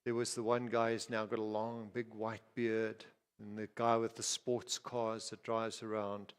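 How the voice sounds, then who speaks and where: A man's voice: fairly low pitch, completely flat delivery, unhurried, smooth. A man from Zimbabwe preaching a sermon as pastor, talking conversationally with frequent restarts, asides and repeated words. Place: church. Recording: reverberant hall.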